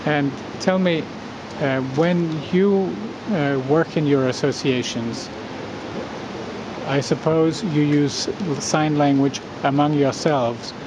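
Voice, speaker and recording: A man's voice: fairly high pitch, slow. A man interviewing formally, careful and hesitant as he rephrases his questions. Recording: clear background hum and noise.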